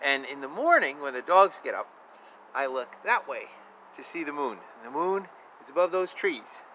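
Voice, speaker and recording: Man, high-pitched bright voice, children's storybook reading, slow sing-song delivery, outdoor noise. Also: sing-song, rough recording. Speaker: children's book reader. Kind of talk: reading picture books aloud with asides. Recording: outdoor recording with clear ambient noise. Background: outdoor ambience.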